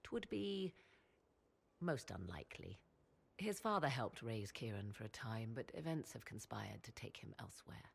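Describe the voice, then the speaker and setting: A woman playing an aloof, proud witch and protective mother in a fantasy game, speaking in formal, archaic, measured phrases. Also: big pitch swings, heavily acted. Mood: guarded, proud. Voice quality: low, creaky. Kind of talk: dramatic fantasy video game acting.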